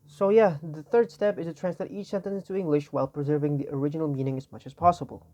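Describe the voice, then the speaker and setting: A young man with an Indonesian accent gives a loose tutorial, his voice raspy from not sleeping, and he rambles and keeps correcting himself. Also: fairly high pitch, steady tone, quick pace, dark tone. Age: young adult. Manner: tired, rambling, self-correcting. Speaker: student. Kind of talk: informal tutorial explanation.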